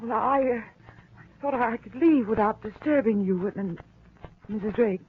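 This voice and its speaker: Actress as high-voiced, anxious young American woman. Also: moderate pace, a little hiss or hum, close to an everyday voice. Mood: nervous, guarded. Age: young adult. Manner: nervous, hesitant, then insistent. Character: frightened but determined young woman witness to murder. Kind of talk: 1940s radio mystery acting. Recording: dull vintage radio broadcast recording.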